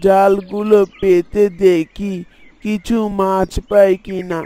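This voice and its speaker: Male actor as devout fisherman dad in a village drama; high, mellow voice, patient delivery, birdsong behind. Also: level tone, takes it slowly. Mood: calm, busy. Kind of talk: Bengali Islamic moral story drama acting.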